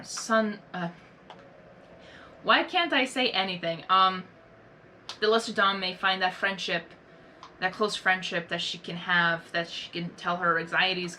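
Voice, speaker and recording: A young woman hosting a reaction video, talking in a rambling, earnest, soft-spoken way with many fillers and restarts. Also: mid-range voice, a little hiss or hum. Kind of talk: casual reflective monologue.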